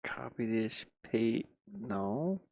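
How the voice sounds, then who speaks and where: A man's voice: fairly low pitch, lively intonation, extremely slow, gravelly, darkish tone, no room sound. A man talking himself through his work in a casual think-aloud commentary, muttering playfully. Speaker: game developer streaming his pixel art work.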